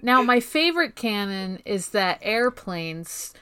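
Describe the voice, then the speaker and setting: A woman's voice: medium pitch, very animated, slow pace, pushed and bright, a little hiss or hum. A woman co-hosting a podcast in casual, joking banter, emphatic and playfully exasperated. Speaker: podcast co-host.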